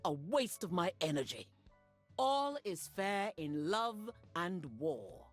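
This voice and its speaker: A woman's voice: on the low side, very animated, takes it slowly, faint music behind, full character voice. An actress playing a proud, ruthless middle-aged warlord mother in a video game, commanding and haughty. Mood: stern contempt.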